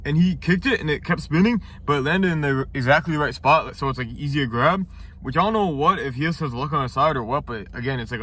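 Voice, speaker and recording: A man's voice: medium pitch, fairly bright tone, a little hiss or hum. A young man with a stuffy, nasal voice from congestion, rambling casually through a competition review with frequent restarts. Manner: rambling, many restarts and fillers. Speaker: ninja competitor reviewing episodes.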